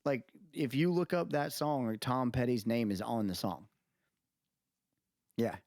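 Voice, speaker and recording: A man's voice: mid-range voice, unhurried, dry recording, clean studio sound. A man chatting casually as a podcast co-host, arguing his point in informal, slangy language. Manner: animated, argumentative, informal slangy talk.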